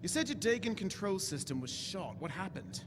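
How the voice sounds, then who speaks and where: Casting: man to play curious, kindly sci-fi hero, high expressive voice, soft questioning radio-drama delivery. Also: normal speed. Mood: sympathetic, probing. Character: eccentric, sympathetic male time-traveling hero, inquisitive. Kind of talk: live radio-play stage acting. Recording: noisy stage recording.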